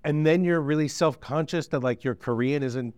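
A man interviewing an actress, asking measured, thoughtful questions. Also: fairly high pitch, a little mellow, clean tone, dry recording, lo-fi sound.

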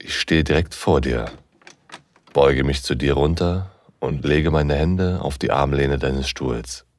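A man's voice: very deep voice, takes it slowly. A man playing a seductive, commanding university professor who narrates an intimate audio drama in the first person, sighing between phrases. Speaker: narrator and lead actor.

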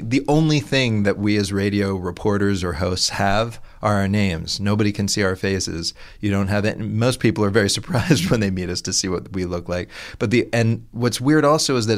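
A man's voice: on the low side, a little fast, few pauses. A man who hosts radio, talking with dry amusement about radio voices and names. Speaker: radio host. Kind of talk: interview comments.

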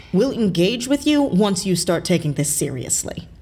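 An actress playing a stern, no-nonsense CIA interrogator in an audio drama, husky and firm with an authoritative manner. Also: female voice, medium pitch, sing-song, slow, a little mellow, heavily acted. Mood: dry, stern.

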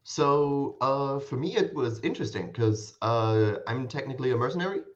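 A man from Germany, a native German speaker, chatting casually in a hesitant, halting way on slightly dull audio. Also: mid-range voice, animated. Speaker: podcast guest, tournament player. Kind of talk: casual chat.

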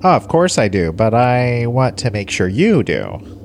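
A man's voice: medium pitch, big pitch swings, unhurried, darkish tone, faint music behind. A man playing a lazy older alien starship commander, pompous yet casually indifferent, in a comic sci-fi audio play. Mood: breezy, evasive. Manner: pompous log-keeping sliding into casual indifference.

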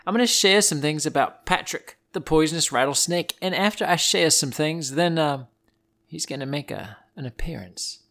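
A man's voice: a little high, moderate pace, fairly bright tone. Playful man telling animal stories. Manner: playful, theatrical put-on accent. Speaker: host of a children's animal podcast. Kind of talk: informal storytelling narration for listeners. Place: indoors beside a wood stove.

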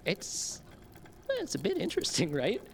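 An actress playing an eager, naive newcomer in an audio drama, hesitant and stammering with curiosity. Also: female voice, mid-range voice, huge pitch swings, moderate pace, vocal fry, slight background noise. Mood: excited.

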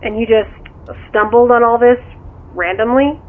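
Over a thin, tinny phone line comes a curious woman confidante in an audio drama. She is casual, dry and skeptical, gently chiding as she questions the other person. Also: a little high, normal speed. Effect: telephone filter. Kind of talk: naturalistic audio drama dialogue.

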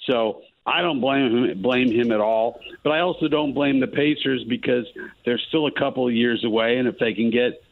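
A male sports columnist calling in, talking basketball in a halting way with lots of fillers. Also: mid-range voice, gravelly, no echo. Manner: halting, many fillers and restarts. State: losing his voice. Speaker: columnist guest. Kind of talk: casual sports talk. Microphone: phone line. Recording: tinny phone line.

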